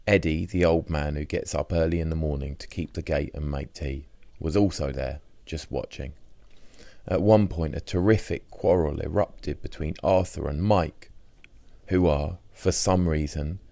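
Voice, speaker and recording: Very deep-voiced man narrating a diary. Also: vocal fry, darkish tone, no room sound. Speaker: audiobook narrator reading a diary. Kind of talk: scripted first-person diary narration.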